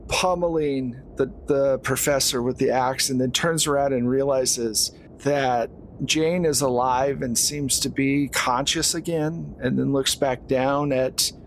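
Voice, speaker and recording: A man's voice: mid-range voice, steady tone, measured pace, hardly any pauses, slight background noise. A man running a horror tabletop roleplaying game, narrating scenes descriptively and conversationally. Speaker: game master.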